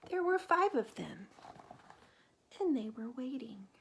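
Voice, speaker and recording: Female storytime reader, higher register, gentle and questioning, teacher-like delivery for young listeners, some background noise. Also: big pitch swings, gravelly. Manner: gentle, pausing to ask questions and point things out. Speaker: teacher reading a picture book aloud to children. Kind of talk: children's read-aloud with questions to the listener.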